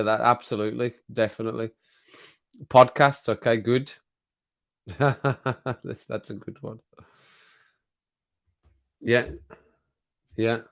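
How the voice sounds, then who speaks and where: A man hosting a casual live stream chat, rambling and laughing often, his voice a little croaky after a cold. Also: on the low side, dry recording.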